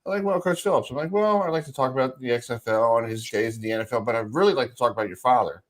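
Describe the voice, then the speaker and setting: A man's voice: mid-range voice, very fast. A middle-aged man hosting a sports radio show, asking informal, rambling questions in a casual chat.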